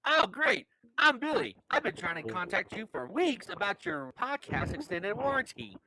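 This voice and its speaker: A man's voice: very high-pitched, very animated, moderate pace, a crowd in the background, heavily acted. A man playing a pushy, persistent telemarketer in a scripted comedy promo, on noisy audio. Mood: pushy, upbeat. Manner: salesy, persistent. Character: pushy spam-call telemarketer named Billy, brisk pitchman. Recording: clearly noisy audio with clicks.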